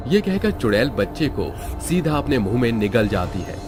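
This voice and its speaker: A man narrating a cartoon horror story in a steady storytelling manner. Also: medium pitch, normal speed. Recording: background music under narration.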